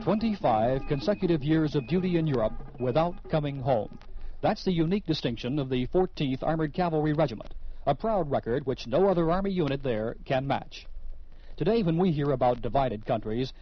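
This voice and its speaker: A man's voice: mid-range voice, lively intonation, normal speed. A man with an American accent reading scripted radio narration and announcements as the host of a show. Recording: dull vintage radio recording with music. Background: music.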